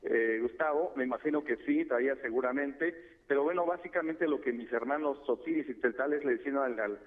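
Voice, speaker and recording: A man from Chiapas whose first language is Tseltal, speaking as a guest expert by phone in a measured, formal way. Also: mid-range voice. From Chiapas, Mexico. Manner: measured, formal, addresses host by name. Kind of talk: radio phone interview. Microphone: telephone. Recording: tinny phone line.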